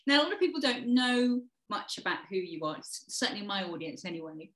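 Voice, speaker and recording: A woman's voice: mid-range voice, sing-song, fairly quick, a bit bright, reverberant. A woman hosting a video-call interview as a happiness coach, asking upbeat, encouraging questions. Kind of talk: interview host.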